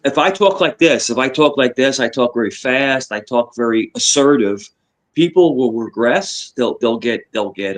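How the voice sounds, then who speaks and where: Guest, male, Virginia-tinged voice, middle pitch, quick restarts, casual talk. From New York. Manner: talks in quick bursts, restarts, shifts between two accents. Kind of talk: casual chat.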